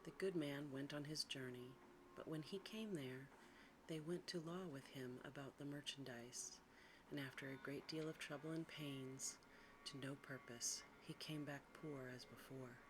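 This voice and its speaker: Soft whispery lady doing an asmr sleep story, gentle and hushed, noticeable noise in the background. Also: very deep voice, unhurried. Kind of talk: ASMR bedtime story reading. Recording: clearly audible background noise.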